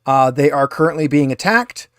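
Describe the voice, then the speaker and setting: A man's voice: a little high, lively intonation, moderate pace, fairly bright tone, dry recording, clean studio sound. A man acting as game master, narrating a tabletop roleplaying game.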